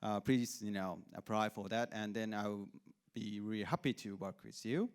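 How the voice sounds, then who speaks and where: Hesitant man with a low, clear voice. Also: normal speed. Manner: hesitant, many fillers and restarts. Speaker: foundation staff member. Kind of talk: conference remarks. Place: large conference hall.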